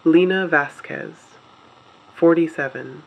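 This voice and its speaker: A man narrating a scripted dramatic radio broadcast in a measured, solemn manner. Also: a little high, gravelly, poor audio.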